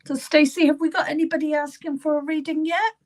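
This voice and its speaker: A middle-aged woman hosting a live psychic reading session, chatting gently with viewers by name, her voice still a little croaky and at times falling to a whisper. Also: high-pitched, little pitch movement, quick pace. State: slightly croaky voice. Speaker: psychic medium.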